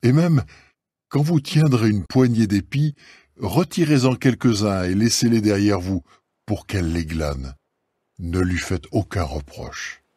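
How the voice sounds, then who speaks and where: A man's voice: fairly low pitch, animated, unhurried, dark tone, slightly rough, dry recording, studio quality. A man playing a kindly, authoritative older landowner in a dramatised scripture reading. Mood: commanding.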